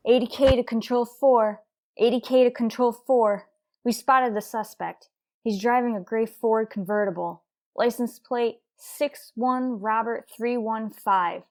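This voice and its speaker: An actress playing a tough, dry police detective in a radio crime drama, speaking in a terse, hard-boiled manner. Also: female voice, a little high, steady tone, measured pace, darkish tone. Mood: urgent, clipped.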